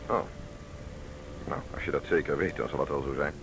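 An actor playing a calm, suspicious male police detective in a radio drama, questioning in a measured, formal way. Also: a bass voice. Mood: probing, polite. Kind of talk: Dutch radio drama acting. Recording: noisy radio play, vehicle ambience.

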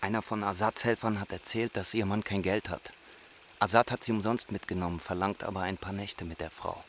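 An actor playing a bitter, weary Kurdish refugee speaking bluntly in a radio drama. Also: male voice, fairly low pitch, fairly even intonation, fairly quick, a bit bright, slight background noise. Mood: matter-of-fact.

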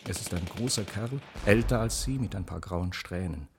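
An actor in a German radio play as a private detective, reporting in a brisk, matter-of-fact way. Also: male voice, deep voice, noisy background. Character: adult male private detective reporting to his client.

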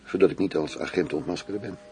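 Man acting a deep-voiced, smug undercover police chief. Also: racing, dark tone, close to an everyday voice. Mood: confiding, boastful. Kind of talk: intimate radio play dialogue.

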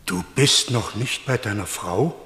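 Reproving priest in echoing hall. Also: male voice, on the low side, a little slow, pushed and bright. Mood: reproachful. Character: reproving Greek Orthodox village priest. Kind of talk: German radio play acting. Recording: echoey hall with long reverb.

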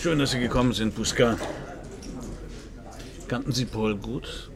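Dry wry detective narrator, creaky voice, middling pitch, radio krimi w music. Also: male voice, measured pace. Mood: probing. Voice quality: gravelly, creaky. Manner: dry, laconic, probing. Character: wry, probing male private detective, first-person narrator. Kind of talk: German radio crime drama, noir narration and dialogue.

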